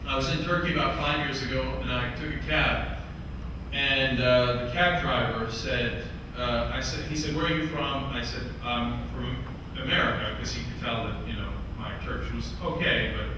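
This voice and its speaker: A man giving a political speech to a live audience, telling anecdotes, asking "right?" and "okay?", and acting out the people he quotes. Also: mid-range voice. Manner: animated storyteller, rhetorical asides, voices quoted people. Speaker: speaker at a campaign event. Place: large room with an audience. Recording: echoey hall from a distance, noisy.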